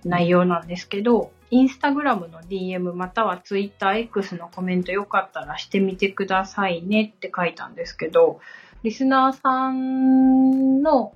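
A woman with a husky, unpolished tone speaks as a learner on a show. Her talk is polite and slightly halting. The sound is somewhat muffled, with faint music underneath. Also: mid-range voice. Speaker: assistant and podcast beginner being coached. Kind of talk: casual, polite listening and questions.

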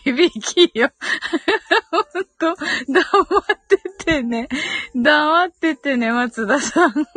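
A woman's voice: high-pitched, expressive, drawn-out words, a bit bright, dry recording. A giggly woman hosting a casual live audio stream, chatting and reading out listener comments with frequent short acknowledgements. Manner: giggly, frequent laughter while talking.

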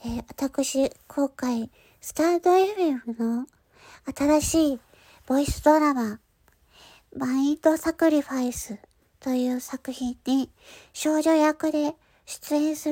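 A woman's voice: high-pitched, takes it slowly, warm tone, no echo. A woman hosting her own audio channel, making a casual but polite announcement to listeners in hesitant phrases. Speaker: channel host and voice actor.

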